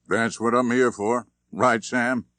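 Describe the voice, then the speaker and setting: A man playing a big, gruff small-town sheriff in an audiobook, brusque and emphatic. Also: medium pitch, steady tone, slow, a bit bright, no echo, pitched above the performer's own voice. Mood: brusque, dismissive.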